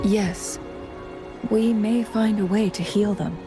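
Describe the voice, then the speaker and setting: A woman voicing a composed, courteous young strategist in a fantasy game, speaking in measured, formal phrases. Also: mid-range voice, steady tone, music in the background, close to an everyday voice. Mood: composed, thoughtful. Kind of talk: scripted video game dialogue.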